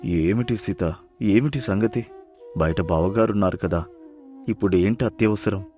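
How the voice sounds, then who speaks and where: A man voicing a poor, kind and self-sacrificing village husband in a moral story, earnest and gentle. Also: fairly low pitch, unhurried, faint music behind, natural voice. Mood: earnest, reassuring. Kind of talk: storybook dialogue acting.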